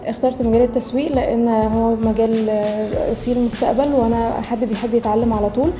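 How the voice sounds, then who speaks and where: Egyptian woman 25, monotone, talks fast with lots of ums. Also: on the high side. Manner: hesitant, many filler sounds. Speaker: intern. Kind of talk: testimonial interview. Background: music.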